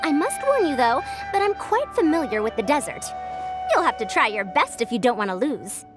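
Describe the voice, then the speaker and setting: A woman's voice: sits high, sing-song, a little fast, music in the background. A woman voicing a proud, confident female scholar in a video game, with a schoolmarmish, self-assured manner. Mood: approving, challenging.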